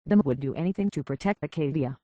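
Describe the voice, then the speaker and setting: An actress playing an earnest, conflicted young woman in a video game, speaking hesitantly and with a soft heart. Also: sits low, quick pace, dry recording, lo-fi sound. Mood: weary, troubled. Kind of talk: video game character dialogue.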